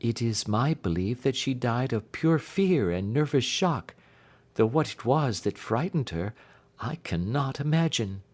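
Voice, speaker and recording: A man voicing an earnest, distressed young gentlewoman in a storybook reading, with formal Victorian phrasing. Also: mid-range voice, animated, unhurried. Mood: earnest, troubled. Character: Helen, distressed young gentlewoman recounting her sister's death.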